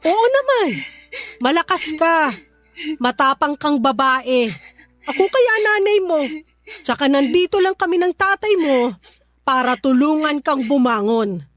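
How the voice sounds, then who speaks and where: A middle-aged Filipina mother in a radio drama, with a very high voice and a Filipino accent. She is feisty and blunt, fiercely protective and quick to scold. Soft music plays behind her. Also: expressive, dry recording. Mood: reassuring.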